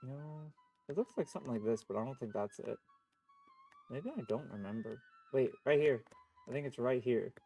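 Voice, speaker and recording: Streamer commenting live on a playthrough, lively mid-pitched voice, playful offhand reactions, faint game music. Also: moderate pace, vocal fry, rough recording.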